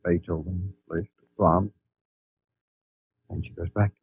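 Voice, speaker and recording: A man's voice: low voice, fairly even intonation, takes it slowly, gravelly, husky, heavily acted. An actor playing an anxious, hesitant husband worried about his ill wife in a 1950s radio drama. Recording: tinny old radio recording with music.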